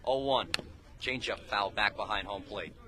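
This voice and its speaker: A man's voice: lowish voice, normal speed. A man calling live baseball play-by-play for radio in clipped, telegraphic phrases. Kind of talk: live sports commentary. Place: outdoors at a baseball game.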